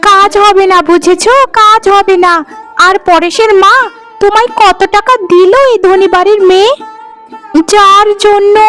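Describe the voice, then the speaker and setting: A woman voicing a spiteful, sharp-tongued widowed sister-in-law who taunts and accuses in a cartoon melodrama. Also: extremely high pitch. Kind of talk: melodramatic Bengali cartoon dialogue.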